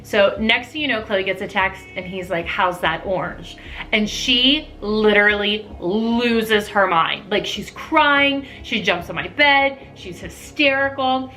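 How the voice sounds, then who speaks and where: A middle-aged woman telling a personal story casually to camera, animated and gossipy, acting out the dialogue as she goes. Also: mid-range voice, unhurried, faint music behind.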